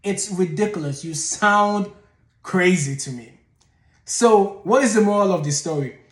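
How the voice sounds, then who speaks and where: A man's voice: sits high, expressive, unhurried, echoey room. A man giving blunt, exasperated commentary as a channel host, often digressing. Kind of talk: opinionated commentary.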